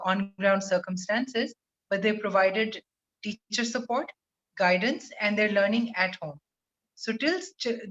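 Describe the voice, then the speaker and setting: A woman, explaining her school program in a steady, articulate video call interview from a room at home. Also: mid-range voice. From Pakistan. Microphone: webcam on video call. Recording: muffled video call audio.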